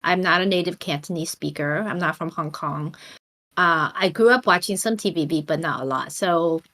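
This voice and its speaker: Bright-voiced woman podcast co-host. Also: fairly low pitch, normal speed. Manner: wry, joking asides. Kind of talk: casual podcast chat.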